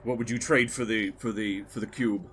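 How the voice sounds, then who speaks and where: A man's voice: on the low side, steady tone, fairly bright tone, slight background noise, natural voice. A man playing an earnest, solemn wizard. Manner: solemn, halting. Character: earnest, principled male wizard fleeing an inquisitor.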